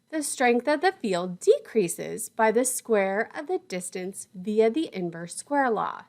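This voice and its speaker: Higher-pitched woman narrating a scripted lesson. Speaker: lecturer.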